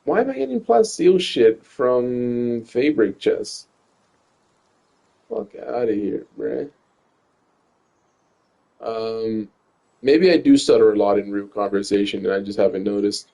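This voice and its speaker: A man's voice: on the low side, animated, slow pace, creaky, long pauses, warm tone. Rambling, cursing young man streaming a game. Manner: rambling, stutters and repeats words, swears often. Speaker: gaming streamer. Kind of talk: casual gameplay commentary.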